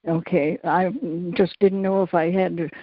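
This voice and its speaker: A woman's voice: fairly low pitch, steady tone, normal speed, no echo. Hesitant woman calling in. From Colorado. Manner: hesitant, many fillers and restarts. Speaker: caller. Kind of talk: phone-in question. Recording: dull lo-fi call audio.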